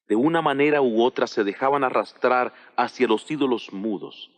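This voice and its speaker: Solemn apostle, music behind. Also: male voice, medium pitch. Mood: solemn, instructing. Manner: solemn, measured, rhetorical. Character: earnest male apostle Paul instructing his church. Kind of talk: dramatized audio Bible reading. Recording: voice over background music.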